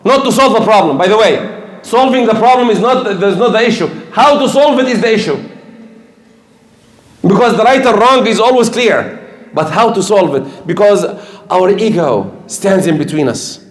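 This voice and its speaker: A man's voice: high-pitched, lively intonation, moderate pace. An older man preaching a sermon in English, emphatic and repeating his key points. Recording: echoey room.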